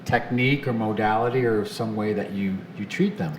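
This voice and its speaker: A man's voice: lowish voice, normal speed, echoey room, slight background noise. A man hosting an interview show, chatting casually and enthusiastically with some filler words. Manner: enthusiastic, upbeat, rambling with fillers. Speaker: show host.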